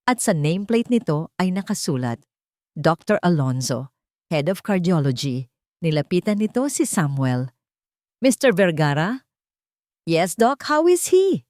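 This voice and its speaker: A woman narrating a scripted audio drama in a measured, dramatic storytelling manner. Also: low voice, mellow, dry recording, studio quality.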